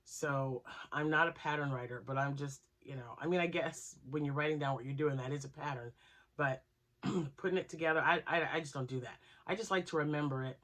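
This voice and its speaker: A woman's voice: low-pitched, a little fast, a bit bright. A woman hosting her crochet channel, chatting casually and rambling with asides, still nasal from a cold. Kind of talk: casual chat to camera.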